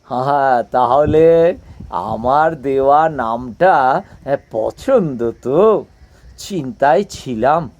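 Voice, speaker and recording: A man acts out a courtly retired gentleman in a radio-style romance. He speaks slowly and hesitantly, searching for words, yet his pitch moves expressively as he shyly flirts. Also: expressive, lo-fi sound. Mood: tender. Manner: hesitant, halting, with filled pauses. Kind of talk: audio play dialogue.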